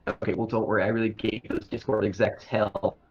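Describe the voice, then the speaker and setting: Male tabletop player, lowish voice, relaxed chat, muffled and crackly voice-chat audio. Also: a little fast. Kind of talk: casual chat. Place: online voice call. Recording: dull, crackly, noisy voice-chat connection.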